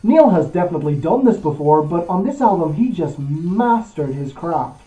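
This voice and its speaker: A man from Belgium hosting a music show, giving an opinionated scripted album review with animated emphasis. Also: on the high side, normal speed, lo-fi sound.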